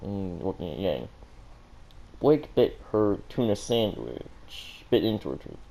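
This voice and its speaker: A man's voice: low-pitched, very animated, a little slow, creaky, warm tone. A man reading a story aloud as an amateur, stumbling now and then over words and correcting himself. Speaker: fan fiction reader and commentator.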